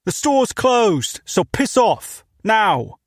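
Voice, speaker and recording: A man doing a hostile British store owner with a rifle, barking his threats loud and high above the narrator's usual pitch. The voice has a gravelly edge and a dry, close sound. The manner is gruff and menacing, like an audiobook heavy. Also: big pitch swings, very slow, no room sound, exaggerated voice. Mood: threatening. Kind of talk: audiobook character voice.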